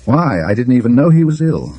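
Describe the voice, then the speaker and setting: A man with a British accent voicing a mature, gloomy, defensive gentleman in a storybook tale, grumbling and emphatic. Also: medium pitch, big pitch swings, brisk, no room sound, pitched above the performer's own voice, close to an everyday voice. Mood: startled.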